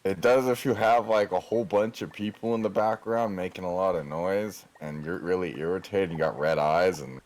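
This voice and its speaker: A man's voice: deep voice, moderate pace, hardly any pauses, a little mellow. A man joking around in casual voice chat, sometimes drawling out his phrases. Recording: clear background noise, clicks.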